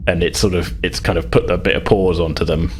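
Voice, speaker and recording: Male game master, very low register, explanatory and slightly halting tabletop narration, light noise behind. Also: fairly even intonation.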